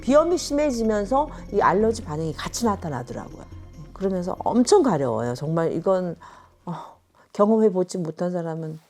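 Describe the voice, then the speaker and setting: A woman in her sixties speaks as a patient, slightly low in pitch with a mellow, soft tone. She talks earnestly, pausing and restarting as she hunts for the right words to describe her symptoms. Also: big pitch swings, faint music behind. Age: 60s. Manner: earnest, halting, searching for words. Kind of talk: casual interview.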